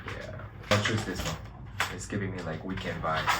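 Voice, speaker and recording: Man, very low voice, relaxed and hesitant, roomy echo, distant mic. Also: moderate pace. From Korea. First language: Korean. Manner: relaxed, hesitant, searching for words. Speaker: vlog co-host. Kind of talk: casual chat. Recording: echoey room, distant mic, faint hiss.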